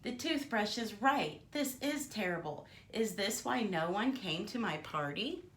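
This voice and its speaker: A woman plays a rude, self-important pig from a children's storybook. He talks with theatrical pomp and very lively intonation. The room adds clear echo. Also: mid-range voice, a little slow. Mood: hurt. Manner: pompous, theatrical. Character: vain, rude male pig, snidely pompous.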